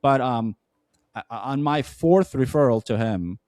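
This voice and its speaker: A man's voice: medium pitch, animated, unhurried, darkish tone, dry recording, clean studio sound. A man with a Turkish accent, a non-native English speaker, telling his story as a podcast guest in an earnest way, with many restarts and fillers. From Turkey. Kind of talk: conversational storytelling.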